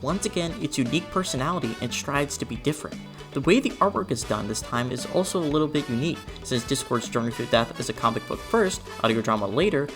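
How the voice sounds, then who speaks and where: A person delivering a scripted, opinionated video essay in an expressive, emphatic way with conversational asides. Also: mid-range voice. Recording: music behind the voice. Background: background music.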